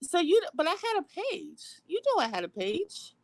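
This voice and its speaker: Lively teasing New York woman. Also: extremely high pitch, normal speed, crowd noise. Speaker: livestream guest. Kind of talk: casual livestream chat.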